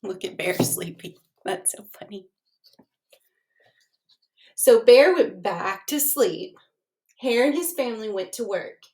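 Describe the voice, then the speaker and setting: Slow-paced woman reading a children's story aloud. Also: a little high. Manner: expressive, unhurried, pausing to question the listeners. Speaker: teacher reading aloud to their class. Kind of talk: storybook read-aloud for children with asides and questions.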